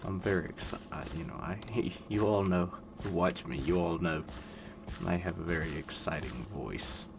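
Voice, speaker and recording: A man in his twenties giving calm, monotone, rambling gameplay commentary, full of fillers. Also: sits low, normal speed, gravelly. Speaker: gaming video creator. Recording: loud background noise.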